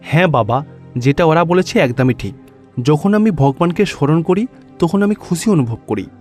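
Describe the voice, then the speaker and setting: Pious, lonely cartoon schoolboy man acting, fairly high pitch, earnest, music beneath. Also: animated, moderate pace, a little mellow, close to an everyday voice. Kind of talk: cartoon story dialogue.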